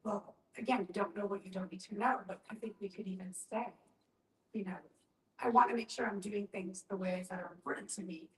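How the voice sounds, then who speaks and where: A woman's voice: medium pitch, fairly even intonation, brisk, recorded from a distance. A woman in a conversational group discussion, explaining things and often voicing example questions aloud. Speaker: panel speaker on end-of-life care. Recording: echoey room.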